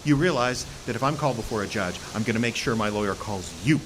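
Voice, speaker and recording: A man's voice: fairly low pitch, lively intonation, quick pace, background noise, natural voice. An actor playing a paranoid, foreboding woodsman in an audio horror drama, insistent and given to rambling. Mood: grim, stubborn. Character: paranoid, ominous woodsman convinced that creatures are coming.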